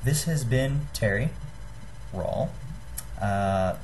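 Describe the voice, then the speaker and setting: Young man, low voice, casual tutorial, hesitant, self-deprecating, webcam mic with faint noise. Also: lively intonation, darkish tone. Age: young adult. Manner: halting, apologetic, self-deprecating. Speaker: hobbyist giving a homemade gadget tutorial. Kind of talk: casual how-to demonstration to camera. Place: small room indoors. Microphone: webcam or computer microphone at desk distance.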